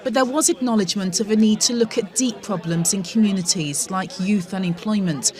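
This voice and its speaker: A woman reporter narrating a news report in a measured, scripted way. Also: mid-range voice, darkish tone.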